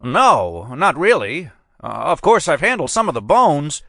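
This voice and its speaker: A man's voice: sing-song, a little slow, creaky, bright, forceful tone, no room sound, clean studio sound, full character voice. A man voicing an indignant older male medical examiner who blusters when challenged. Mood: defensive. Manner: indignant, high-strung, blustering.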